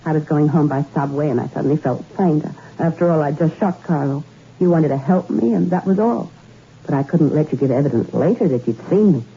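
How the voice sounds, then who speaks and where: A bitter, self-loathing rich young woman who has killed, her voice slightly low. She confesses coolly in 1960s radio drama style. The old broadcast is muffled, with faint hiss. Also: a little fast, dark tone. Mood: cool confession. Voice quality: cool, confessional, then anguished.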